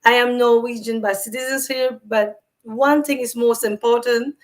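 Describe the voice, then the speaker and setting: A woman from Cameroon speaks as a guest over a video call. Her voice sits slightly high, and she talks in a warm, earnest way with a few hesitant fillers. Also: steady tone, normal speed. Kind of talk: interview answers.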